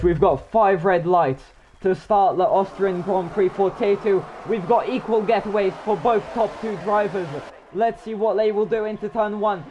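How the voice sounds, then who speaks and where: A young man commentating a live online racing league, hoarse with his voice nearly gone, rambling and talking to himself while he waits for action. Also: high-pitched, darkish tone, muffled recording, a little hiss or hum.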